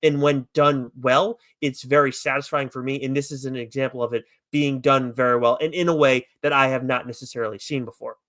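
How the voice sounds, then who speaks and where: A man's voice: medium pitch, fairly bright tone, dry recording, studio quality. A man co-hosting a podcast, chatting casually about comics in a rambling, enthusiastic, loosely profane way. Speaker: podcast co-host reviewing comics. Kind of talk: casual chat review.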